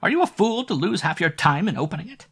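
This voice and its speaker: A man's voice: very animated, fast talker, gravelly, a bit bright, husky, heavily acted. A man reading a storybook voicing a bossy, stout old female innkeeper who scolds and shrieks. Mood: scolding. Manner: shrill, scolding, screaming in panic.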